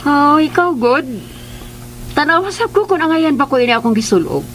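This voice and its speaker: An actress playing a bossy, fussy matron in a Philippine radio drama, with a Filipino accent and a scolding way of talking. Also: female voice, high voice, animated, moderate pace, a bit bright, a little hiss or hum, rough recording. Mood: fond, chatty. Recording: music behind the voice.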